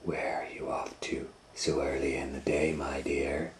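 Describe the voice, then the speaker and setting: A man voicing a sly, hungry old storybook wolf who mutters slowly in falsely sweet half-whispers. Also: extremely low pitch, flat delivery, reverberant, a little hiss or hum, voice pushed down. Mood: falsely polite.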